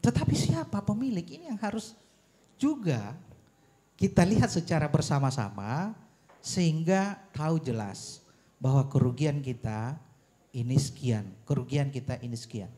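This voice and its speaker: A man speaking as a panelist at a public forum, arguing a policy point in deliberate, emphatic phrases with rhetorical questions. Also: a little high, animated, mellow. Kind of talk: argumentative forum speech on policy.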